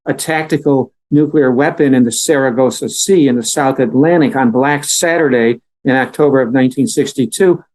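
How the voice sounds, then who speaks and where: A man's voice: mid-range voice, darkish tone, low-quality recording. A man speaking as an interview guest, giving emphatic, digressive political commentary in conversation.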